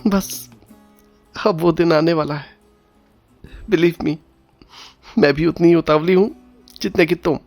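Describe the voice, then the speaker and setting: An actress plays a young Indian bride in an audio drama, eager, playful and full of questions. Her Indian-accented speech has soft music faintly behind it. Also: low voice, steady tone, slow pace, gravelly, pauses often, full character voice. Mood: affectionate, eager. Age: young adult. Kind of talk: Hindi audio drama acting.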